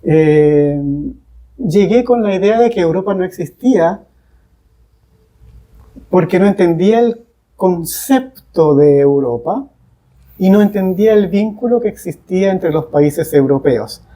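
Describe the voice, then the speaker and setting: Slow, mellow man in conversation. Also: high-pitched, animated. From Chile. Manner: deliberate, pausing between short phrases. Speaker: guest, English teacher. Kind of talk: reflective podcast conversation.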